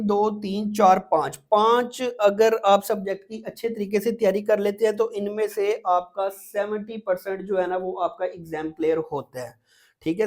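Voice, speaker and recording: A man's voice: high voice, a little mellow. Pakistani man teaching step by step. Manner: repetitive, checks in often with tag words. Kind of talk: exam-prep lecture, explaining step by step.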